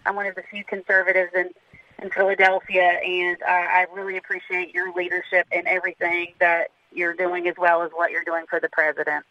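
A man's voice: sits high, completely flat delivery, brisk, dry recording. A man in his fifties with a Southern accent, calling a radio show to earnestly explain his hospital work. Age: 50s. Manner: earnest, admiring, explains medical terms plainly. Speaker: caller, cardiac surgery worker. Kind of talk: radio call-in. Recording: muffled phone line.

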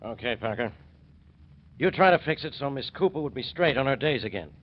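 A man's voice: medium pitch, expressive, brisk, a little hiss or hum, natural voice. An American man playing a hard-nosed, smug police investigator, blunt and sardonic, in a 1940s radio crime drama. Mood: smug, stern. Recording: dull 1940s radio recording.

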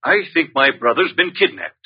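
A man's voice: fairly high pitch, big pitch swings, brisk, breathy edge, heavily acted. An actor playing an earnest, concerned man in an old radio drama. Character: concerned, earnest man seeking missing brother. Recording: muffled vintage radio recording.

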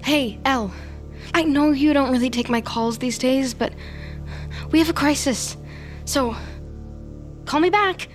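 A woman's voice: high voice, measured pace, long pauses, over music. An actress playing an anxious, scheming woman in an audio drama, sardonic and dramatic, often talking to herself. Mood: pleading, urgent. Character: Nancy, anxious, scheming, vindictive woman in crisis. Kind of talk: scripted fiction podcast acting, monologue and dialogue.

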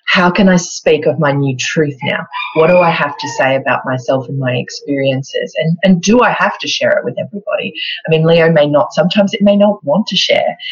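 In a slightly muffled, lo-fi recording, a woman with an Australian accent talks in a casual, reflective way. Her voice is noticeably husky and breathy. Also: low-pitched. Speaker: astrology show co-host. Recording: dull, lo-fi microphone sound.

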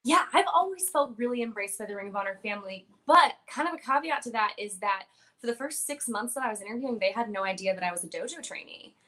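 A woman's voice: fairly high pitch, animated, quick pace, sharp tone. A young woman, a wrestler and former radio broadcaster, answering interview questions in a confident, chatty way with frequent filler words.

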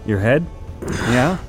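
Slow, falsely affable company man. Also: medium pitch. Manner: halting, casual, chuckling under threats. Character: falsely affable, hesitant male corporate official. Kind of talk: naturalistic audio drama acting.

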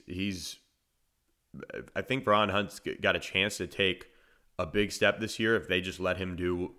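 A man with a very low, naturally deep voice that carries a forceful, pressing edge. He hosts a solo sports podcast, breaking down players and telling stories in a loose, conversational way. He often restarts his phrases as he thinks aloud. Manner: conversational, analytical, frequent verbal restarts. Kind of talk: solo podcast commentary and storytelling.

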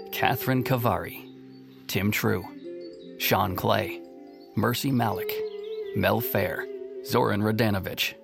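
A man reading the end credits of an audio drama in a steady, even list. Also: on the low side, vocal fry. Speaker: credits announcer. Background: music.

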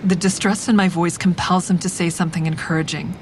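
A woman's voice: fairly even intonation, natural voice. Woman narrating as a guarded, grieving heroine. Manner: measured, introspective narration. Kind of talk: first-person audio drama narration. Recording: constant vehicle rumble behind.

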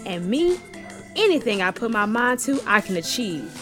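An actress playing a brash, persuasive American hustler in an audio buddy comedy, with slangy, swaggering, teasing talk. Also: female voice, a little high, big pitch swings, moderate pace, a bit bright, soft background music. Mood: persuasive, cocky. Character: brash, persuasive woman hustler urging friend into crime.

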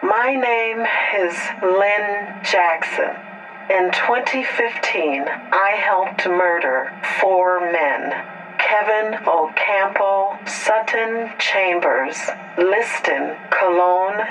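An actress playing a remorseful woman in an audio drama, confessing solemnly in a deliberate, halting way. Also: mid-range voice, fairly bright tone, faint music behind, low-quality recording. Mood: grave, controlled.

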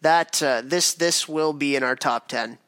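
A man's voice: fairly high pitch, a little slow, fairly bright tone, clear, resonant tone, no echo. A man hosting a hockey podcast, upbeat and laughing often in casual chat. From went to Cornell. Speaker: podcast host.

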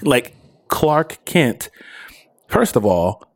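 A man co-hosting a casual podcast, his pitch swinging widely as he fires off questions and laughs along the way. The sound is dry and close, with no room behind him. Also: very slow, no echo. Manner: animated, questioning, laughs often. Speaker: podcast co-host. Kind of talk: casual pop culture chat.

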